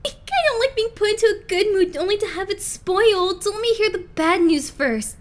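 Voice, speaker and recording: A woman voicing an excitable, easily flustered teenage idol boy in an anime fandub, gushing and stammering. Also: extremely high pitch, moderate pace. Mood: wary, grumbling. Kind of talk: anime-style fandub voice acting.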